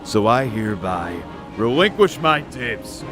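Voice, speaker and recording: A man's voice: medium pitch, big pitch swings, a little slow, music in the background. A man playing a big, brash, cocky demon hunter with a folksy, taunting way of talking in an audio drama.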